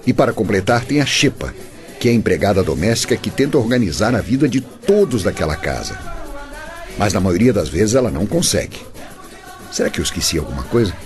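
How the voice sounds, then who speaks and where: A man's voice: on the low side, very animated, fairly quick. A man with a Brazilian accent giving playful scripted narration for a comedic radio drama. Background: music.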